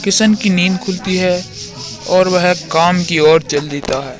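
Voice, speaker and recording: A man's voice: high-pitched, a little slow. A man narrating a moral story as the storyteller.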